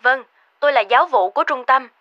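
A woman voicing a formal training-centre administrator on a phone call in an animated cartoon. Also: sits high, fast talker, no room sound, close to an everyday voice. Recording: dull phone-call sound.

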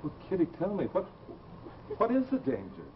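An actor playing an anxious, insistent young suitor in an old television melodrama, earnest and urgent. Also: male voice, high-pitched, huge pitch swings, normal speed. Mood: urgent, concerned. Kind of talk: 1950s live television melodrama acting. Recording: noisy, muffled old TV soundtrack.

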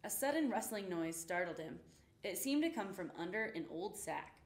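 A young woman reading a children's picture book aloud in an expressive storytelling way. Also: lowish voice, normal speed. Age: young adult. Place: indoor room with painted rainbow backdrop. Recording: roomy, echoing indoor sound.

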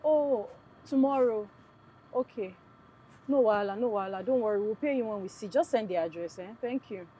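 A woman's voice: mid-range voice, brisk, warm tone, slight background noise, close to an everyday voice. A young woman with a Nigerian accent playing a polite, easygoing, placating character in a naturalistic film drama.

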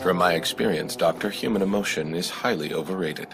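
An unemotional male alien officer with a very low voice and formal, deadpan delivery. He speaks in a space video game over clear music. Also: a little fast. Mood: dry, detached. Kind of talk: scripted video game dialogue. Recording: music behind.

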